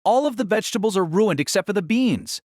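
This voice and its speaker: A woman voicing a mild, modest teenage boy in an audio drama, soft-spoken and accommodating. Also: mid-range voice, animated, fast, dry recording, clean studio sound, natural voice. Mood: mild, obliging. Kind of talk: anime-style audio drama acting.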